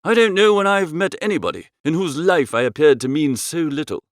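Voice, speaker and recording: A man narrating a comic first-person literary story as an audiobook, in a droll, expressive storytelling manner. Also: fairly high pitch, brisk, no room sound, studio quality.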